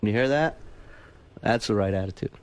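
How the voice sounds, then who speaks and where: A man's voice: fairly low pitch, normal speed. A man arguing off the cuff on a radio show, blunt and reproachful in the way he talks. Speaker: radio show staff member. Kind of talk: unscripted argument.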